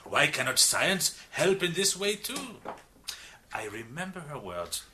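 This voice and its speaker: An actor playing a big, expansive, reformed French criminal in a radio drama, with a French accent and an effusive, theatrical manner. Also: male voice, a little high, big pitch swings, unhurried, noisy background. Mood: chatty, animated. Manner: effusive, theatrical, with hesitant asides.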